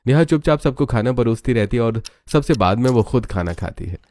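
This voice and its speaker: A man's voice: mid-range voice, animated, brisk, a little mellow. A man narrating a scripted family drama story in a dramatic storytelling style.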